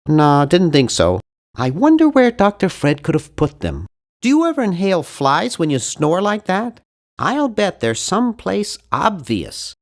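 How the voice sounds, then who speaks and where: A bookish young nerd from an adventure game, timid and polite. His nasal voice swings widely up and down in pitch, and he sounds awkward, fussy and earnest in every line. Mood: polite, deadpan. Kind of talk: video game character voice lines.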